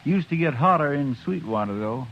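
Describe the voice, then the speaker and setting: Folksy frontier deputy on dull old radio. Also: male voice, on the high side, animated, a little fast, a little hiss or hum. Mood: easygoing, deferential. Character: easygoing, deferential cowboy deputy in the old West. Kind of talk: 1950s radio western acting.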